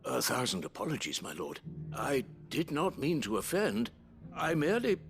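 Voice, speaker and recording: An actor playing a formal, deferential chief steward of a noble estate in a fantasy game. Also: male voice, medium pitch, huge pitch swings, gravelly, faint music behind. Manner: formal, courtly and deliberate. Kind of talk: scripted fantasy game dialogue.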